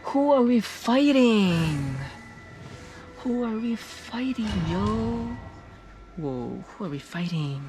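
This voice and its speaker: A young adult woman giving casual, playful, excitable commentary as a streamer reacting to a game. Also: on the high side, slow pace, noisy background. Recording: game music behind the voice.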